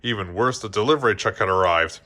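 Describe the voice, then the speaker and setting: Bright-voiced man reading a storybook. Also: fairly low pitch, fast. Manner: occasionally stumbles over words and rereads. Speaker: storybook reader. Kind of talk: scripted read-aloud narration.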